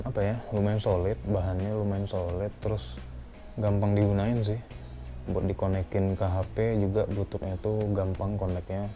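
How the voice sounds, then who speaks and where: A man's voice: low voice, mellow. A young man giving an informal, unscripted product review in a conversational vlog style.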